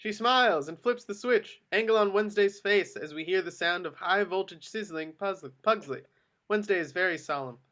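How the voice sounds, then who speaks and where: A man's voice: on the high side, fairly bright tone. A man reading out screenplay action directions as scripted narration at a table read. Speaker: action-line reader.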